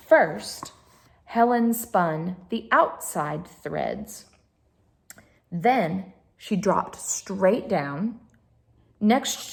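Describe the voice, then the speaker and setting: A woman's voice: fairly low pitch, gravelly. A woman reading a picture book aloud to children as a storyteller, expressively, with chatty asides to her listeners.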